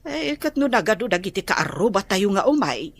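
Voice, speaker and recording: An actress in a radio drama playing a soft-spoken, generous middle-aged village mother who talks hesitantly. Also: medium pitch, sing-song, moderate pace, slightly rough.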